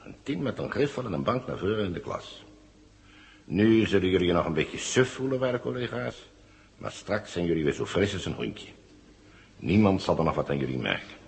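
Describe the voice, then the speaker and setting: A smug villain leader from a vintage radio drama. His voice is very low and gravelly, and it swoops widely through mock-friendly, patronizing lines. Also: big pitch swings, moderate pace, full character voice. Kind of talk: 1970 Dutch radio play acting.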